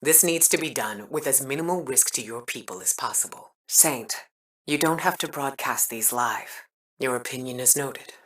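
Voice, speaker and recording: An actress playing a stern, principled military commander in a video game, speaking formally and deliberately with a measured, weary air. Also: female voice, rumbling low, fairly bright tone, far from the mic. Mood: measured, firm. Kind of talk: dramatic video game voice acting.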